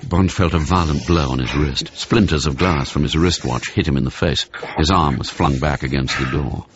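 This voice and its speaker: A man's voice: a bass voice, normal speed. A man narrating a radio thriller in a scripted, storytelling style. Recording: slightly noisy, muffled radio broadcast recording.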